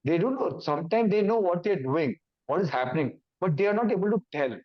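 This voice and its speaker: A man's voice: high voice, lively intonation, brisk, highs cut off. A man with an Indian English accent, a native Hindi speaker, giving an awareness talk in simple, repeated phrases. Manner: explains in simple repeated phrases, acting out confused thoughts. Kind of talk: awareness talk with everyday examples.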